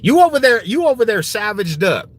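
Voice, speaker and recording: A man's voice: high-pitched, very animated, brisk. A man in his forties chatting casually on a livestream, slangy and prone to repeating his phrases as he replies to viewers. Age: 40s. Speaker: livestream host. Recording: noticeable background noise.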